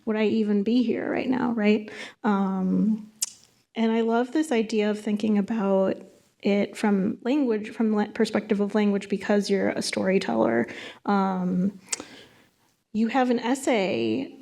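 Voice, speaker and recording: A woman's voice: mid-range voice, steady tone, measured pace, creaky, mellow. A woman interviewing an author at a bookstore event, asking thoughtful questions while searching for her words.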